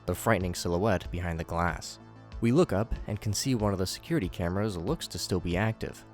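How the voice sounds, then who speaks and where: Low male voice, scripted recap narration, wry commentary, joking asides, background music. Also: darkish tone.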